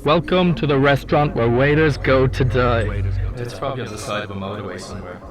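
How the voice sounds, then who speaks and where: A man's voice: mid-range voice, fairly quick, close to an everyday voice. A man playing a weary, sardonic waiter in an audio fiction monologue, muttering and brooding in repeated phrases. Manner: repetitive, brooding, muttering asides. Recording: busy cafe crowd noise behind. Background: cafe chatter.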